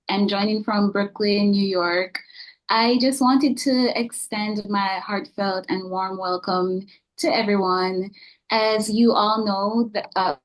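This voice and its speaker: A woman giving an informal welcome speech, earnest and a little halting as she finds her words. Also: medium pitch, poor audio. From Jamaica. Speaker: organisation ambassador giving greetings.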